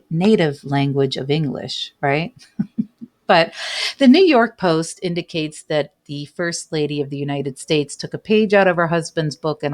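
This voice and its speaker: A woman hosting a casual commentary show, reading news aloud and adding chatty tag questions, with light laughs between remarks. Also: on the low side. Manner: chatty, adds tag questions like "right?" and "okay?".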